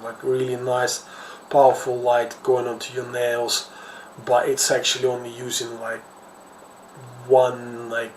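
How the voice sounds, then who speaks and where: A middle-aged man from Ukraine with a Ukrainian accent gives informal, rambling commentary, repeating words as he searches for his phrasing. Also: mid-range voice. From Kherson, Ukraine. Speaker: social commentator, host. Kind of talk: informal off-the-cuff news commentary. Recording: echoey room, distant mic, faint noise.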